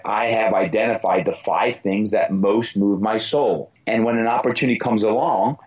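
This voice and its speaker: Hoarse, raspy man giving motivational advice. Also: fairly low pitch, poor audio. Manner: emphatic, motivational, repeats key phrases. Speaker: podcast guest, fitness business coach. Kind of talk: podcast interview answers.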